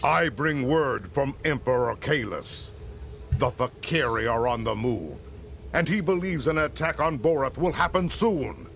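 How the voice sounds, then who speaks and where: An actor playing a dutiful, stern alien warrior officer in a video game, delivering orders in a formal, martial way. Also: male voice, medium pitch, expressive, a little slow, a bit bright, a little hiss or hum. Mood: martial, urgent. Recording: faint music behind the voice.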